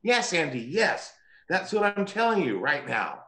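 Actor doing a pleading killer doll trying to make amends, swoopy pitch, echoey room. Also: big pitch swings, a little slow, a bit bright. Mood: pleading, apologetic. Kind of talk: dramatic scene performed over video call. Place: indoor room, remote video call. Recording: roomy echoing video-call audio.

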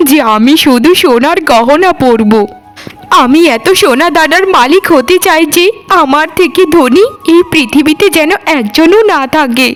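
Greedy cartoon servant woman, played by a female actor: very high voice, melodramatic, self-pitying, pleading. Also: animated, unhurried, soft background music. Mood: desperate demanding. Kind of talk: dramatic cartoon voice acting.

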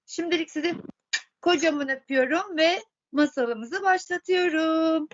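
A woman's voice: very high-pitched, a little slow, dull audio. A woman teaching yoga to children, guiding relaxation with encouraging, repeated questions. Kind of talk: guided relaxation instruction for children.

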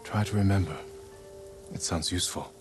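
A man's voice: low-pitched, moderate pace, over music. A man playing a dutiful, protective samurai lord in a video game drama, terse and restrained. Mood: focused. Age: adult.

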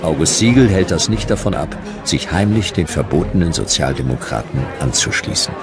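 Very deep-voiced male documentary narrator. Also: very animated, brisk, music in the background, a crowd in the background. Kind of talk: scripted history documentary narration.

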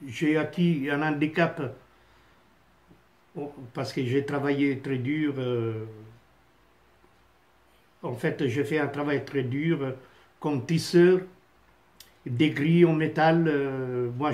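A man in his fifties with an Italian accent, chatting haltingly to camera from a small home office, with many fillers and restarts. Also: mid-range voice, a little mellow. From Italy. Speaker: vlogger. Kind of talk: casual rambling monologue to camera. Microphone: phone camera on a stand at desk.

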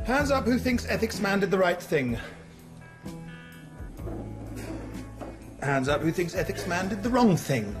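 Lively, higher-voiced teacher. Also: male voice, brisk, over music. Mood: brisk, quizzing. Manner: didactic, emphatic. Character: brisk schoolmasterly philosophy teacher quizzing a class. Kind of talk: radio drama acting.

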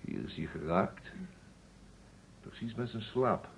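An actor playing a grave, calm amateur sleuth in a radio play. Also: male voice, fairly low pitch, slow, creaky, slightly rough, heavily acted. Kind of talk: Dutch radio drama acting. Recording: noisy old radio recording.

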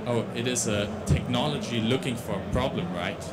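A man's voice: mid-range voice, a little fast, over loud music. A young man with a Dutch accent giving a tech conference talk on a large stage into a handheld mic, with many restarts and fillers. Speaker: conference speaker.

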